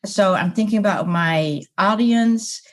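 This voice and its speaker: Middle-aged woman guest from the Netherlands, thoughtful, hesitant, ordinary pitch. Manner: measured, hesitant, with fillers. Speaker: coaching client, guest.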